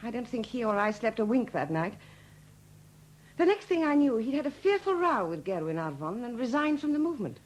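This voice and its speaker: An actress playing a wry, bitter widow with a husky, expressive voice in a 1970s radio drama. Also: female voice, a little high, a little fast, poor audio. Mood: bitter, confiding. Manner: sardonic, expressive.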